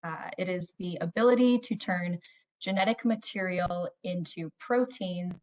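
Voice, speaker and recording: A woman in her twenties, a doctoral student, giving a prepared, measured storytelling talk with a dramatic build. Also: lowish voice, a little mellow, poor audio.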